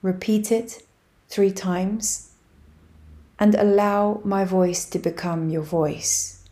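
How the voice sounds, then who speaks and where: A woman guiding a yoga nidra meditation, speaking softly and evenly with long pauses. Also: medium pitch. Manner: slow, soft and even, with long pauses. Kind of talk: guided meditation.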